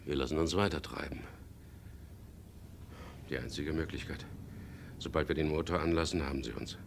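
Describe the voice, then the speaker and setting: A tough, decisive skipper in a 1970s radio crime drama. His voice sits very low and crackles with gravel. He is terse and commanding, yet measured when he narrates. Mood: hushed, tense. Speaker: lead actor and first-person narrator of a radio play.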